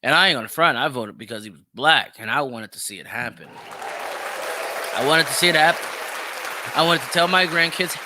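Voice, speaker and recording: Animated man with a bright, forceful voice. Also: mid-range voice, fairly quick, some chatter behind. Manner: emphatic, repeats phrases for stress. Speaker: podcast host. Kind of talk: opinionated solo commentary.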